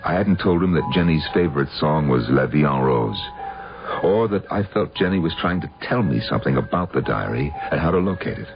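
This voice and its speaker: A man's voice: rumbling low, animated, a little fast. An actor playing a guarded man pursuing his old love's killer in a radio mystery drama, both in dialogue and narration. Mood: brooding narration. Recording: dull old radio broadcast, music behind.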